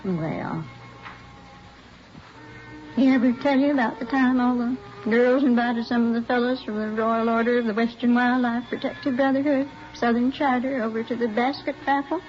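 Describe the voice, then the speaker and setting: An older American woman playing a chatty, nostalgic elderly mother, gently rambling in 1950s radio drama style. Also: on the high side, steady tone, normal speed, close to an everyday voice. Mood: fond, chatty. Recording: muffled vintage radio recording, faint music.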